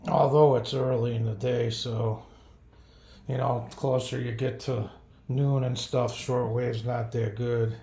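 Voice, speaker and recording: A man's voice: mid-range voice, dark tone, reverberant. A man giving a casual hands-on product review, rambling and thinking aloud as he grumbles about drawbacks. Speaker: radio reviewer.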